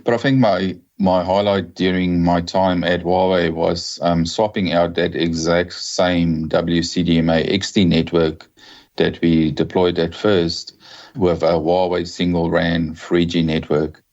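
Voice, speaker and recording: A South African man in his fifties, a telecom executive giving a measured interview with small restarts. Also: sits low, little pitch movement, a little mellow, lo-fi sound. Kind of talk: podcast interview.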